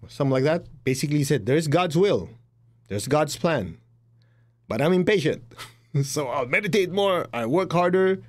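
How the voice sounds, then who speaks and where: A man's voice: on the high side, expressive, normal speed. A middle-aged man with a Chinese accent gives a blunt, direct spiritual talk as a teacher. He acts out the voices of the people he quotes and laughs at his own jokes.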